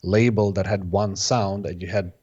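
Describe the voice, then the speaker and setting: Low-voiced hesitant man, native Bosnian speaker. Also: steady tone, moderate pace, a little mellow, breathy edge, lo-fi sound. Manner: hesitant, restarts phrases, many "sort of" hedges. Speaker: guest, electronic music producer. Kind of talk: casual interview answers.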